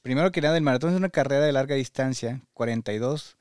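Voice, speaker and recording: A man's voice: medium pitch, fast, a little mellow, smooth, dry recording, clean studio sound. Mexican man hosting a conversational podcast. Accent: Mexican Spanish. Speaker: podcast host interviewing a guest.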